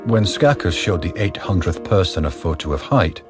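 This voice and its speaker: Deep-voiced male drama narrator. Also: lively intonation, music in the background. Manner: measured, factual.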